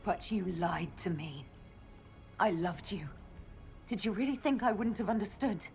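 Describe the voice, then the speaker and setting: A woman's voice: medium pitch, lively intonation, unhurried, darkish tone. An actress playing a young female elf leader who questions directly, hurt but searching for answers. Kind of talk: video game voice acting. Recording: game audio with music score.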